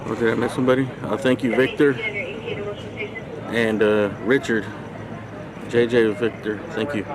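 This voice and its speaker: A man's voice: medium pitch, little pitch movement, normal speed, crowd noise, low-quality recording. A man chatting casually as a live streamer, slangy and prone to swearing, with bro-talk.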